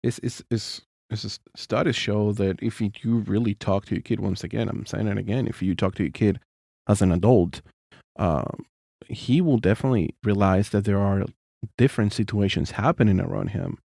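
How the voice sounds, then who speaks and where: Deep-voiced man, casual co-host, gravelly and dry, says things twice to make his point. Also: normal speed, darkish tone, no echo. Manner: earnest, repeats himself, non-native phrasing. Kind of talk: casual chat.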